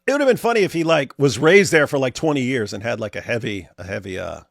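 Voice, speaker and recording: A man chatting on a talk show, his pitch swinging widely as he jokes. His voice has a gravelly fry to it. He repeats punchlines for effect, recorded dry and close. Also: fast talker, no echo. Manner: lively, joking, repeats phrases for effect. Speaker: co-host. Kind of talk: casual reaction chat.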